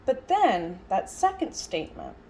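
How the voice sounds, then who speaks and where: Expressive woman voicing a reflective lecture. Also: medium pitch, unhurried, slight background noise. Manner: musing, emphatic phrasing with rhetorical questions. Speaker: sign language interpreter voicing a signed lecture. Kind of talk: interpreted lecture, conversational and reflective.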